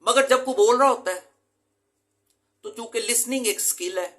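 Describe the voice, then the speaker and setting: A man's voice: very high voice, normal speed, a bit bright. A man from Pakistan, an English teacher, giving an earnest, emphatic lecture to his students and mixing English terms into his talk.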